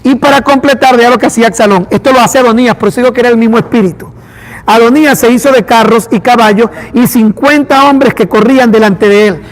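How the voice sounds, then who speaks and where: A man with a Venezuelan accent, a pastor preaching an animated sermon and acting out dialogue. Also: very high voice, fast talker. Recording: long hall reverb.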